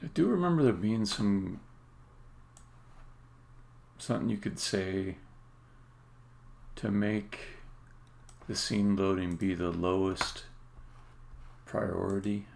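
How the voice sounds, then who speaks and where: A man's voice: sits low, slow pace, creaky, pauses often, darkish tone. A man casually thinking aloud as he live-codes a game, muttering to himself in short fragments. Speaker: game developer streaming live coding. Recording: background keyboard typing and clicking noise. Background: computer keyboard typing, mouse clicks.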